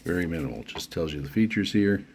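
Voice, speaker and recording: A man giving unscripted unboxing commentary as a product reviewer, speaking haltingly with frequent pauses mid-phrase. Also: deep voice, lively intonation, darkish tone, a little hiss or hum.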